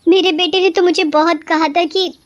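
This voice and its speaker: A woman's voice: extremely high pitch, fairly even intonation, moderate pace, dull audio, pitched above the performer's own voice. A woman voicing a timid, remorseful village mother in a cartoon story, pleading fearfully. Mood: frightened, pleading.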